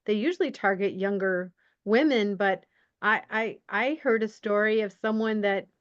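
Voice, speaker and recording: A woman podcast host interviewing in a casual, conversational way, often halting as she searches for words. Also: mid-range voice, smooth, no room sound. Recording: dry, slightly dull lo-fi audio.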